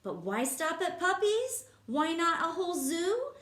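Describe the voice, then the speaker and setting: A woman's voice: very high voice, sing-song, measured pace, a bit bright, pitched above the performer's own voice, full character voice. A woman voicing an imaginative young boy who describes his dream school in bouncy, sing-song rhymes. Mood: excited. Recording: echoey room.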